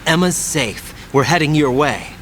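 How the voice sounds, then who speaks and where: A man's voice: a little high, expressive, moderate pace, fairly bright tone, a little hiss or hum. A man playing an earnest, dutiful young operative in a dramatic video game cutscene.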